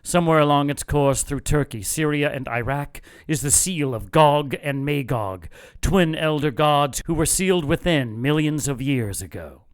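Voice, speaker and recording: An actor playing a fanatical cult leader in an audio drama, lecturing in a measured, contemptuous and gloating way. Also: male voice, on the high side, clear, resonant tone, natural voice. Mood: cold certainty. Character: fanatical cult leader brother plotting apocalypse, calm and contemptuous. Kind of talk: audio drama villain monologue.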